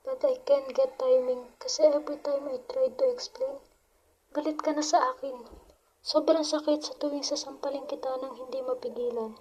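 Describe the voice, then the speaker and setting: A woman's voice: sits high, fairly even intonation, normal speed, warm tone, breathy edge, lo-fi sound. A woman with a Filipino accent playing a loving, remorseful mother reading out a confessional letter in a radio drama. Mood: sorrowful, tender.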